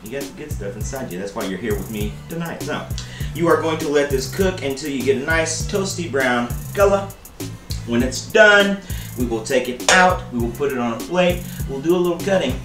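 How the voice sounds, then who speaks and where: A man's voice: mid-range voice, animated, moderate pace. A man in his thirties hosting a casual home cooking show, joking with viewers and calling them baby and buddy, in a home kitchen. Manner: playful, jokey, calls viewers baby and buddy. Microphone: camera mic from a few feet away. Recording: roomy kitchen with music behind.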